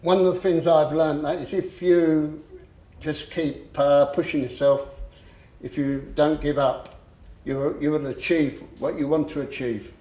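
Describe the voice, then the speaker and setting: A man with a stammer giving a personal talk, slow and halting with frequent pauses and fillers. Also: a little high, fairly even intonation, dark tone. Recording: echoey room.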